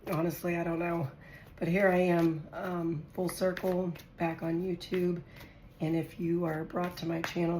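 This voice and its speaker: A woman with a hoarse, raspy voice, lower than most, chatting as she lays out tarot cards for her viewers. She thinks aloud as she draws each card. The room sounds echoey, with a faint hiss behind her. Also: darkish tone. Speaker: tarot reader. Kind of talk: casual tarot reading to viewers.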